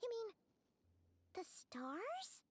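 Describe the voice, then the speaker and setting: Squeaky, excitable little fairy companion. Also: female voice, very slow, noisy background, heavily acted. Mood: puzzled, worried. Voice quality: squeaky, childlike. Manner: exclamatory, childlike delivery. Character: tiny childlike floating fairy companion, excitable and nosy. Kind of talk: video game voice acting.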